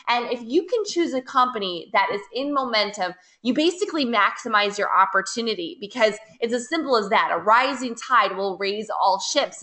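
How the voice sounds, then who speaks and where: An American woman leading a network marketing team, giving an upbeat, persuasive webinar pitch with repeated phrases. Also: pushed and bright. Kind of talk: webinar sales presentation.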